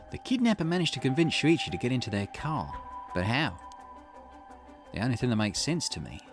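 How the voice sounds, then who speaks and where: A man's voice: medium pitch, close to an everyday voice. A man voicing a shrewd, self-assured sleuth in a visual novel, explaining with teasing confidence. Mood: probing.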